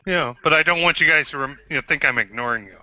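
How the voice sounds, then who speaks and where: Halting man in his fifties. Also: a little high, bright, forceful tone, dull audio. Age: 50s. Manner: halting, many pauses and restarts. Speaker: guest on a panel. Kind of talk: casual group chat.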